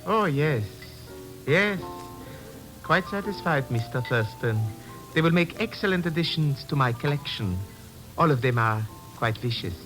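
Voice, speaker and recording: An actor playing a sinister foreign zoologist, formal, measured and menacingly polite, in an old radio drama. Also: male voice, on the high side, animated, rough recording. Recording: vintage radio recording with music.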